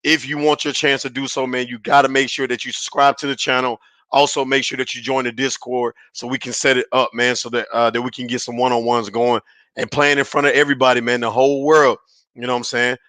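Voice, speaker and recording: A man hosting a casual sports podcast, his voice raspy and hoarse from yelling, talking in a hyped, slangy way on a headset mic. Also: mid-range voice, little pitch movement, a little fast, no room sound. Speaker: podcast host.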